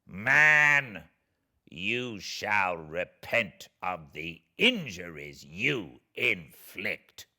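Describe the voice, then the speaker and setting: A man acts out a wretched, vengeful monster from a gothic storybook. The voice is low and forceful, drawing out each threat very slowly. The delivery is eloquent, declamatory and full of menace. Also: very animated, heavily acted. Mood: menacing, vengeful. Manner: declamatory, threatening, drawn-out words. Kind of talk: dramatic audiobook reading of classic novel.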